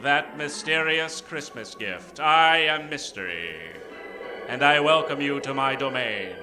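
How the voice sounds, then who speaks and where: Man putting on booming, ominous spirit of Mystery. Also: animated, over music, poor audio. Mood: ominous, grandiose. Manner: booming, slow, melodramatic. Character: Mystery personified, ominous, grandiose narrator of radio thrillers. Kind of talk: old-time radio mystery narration.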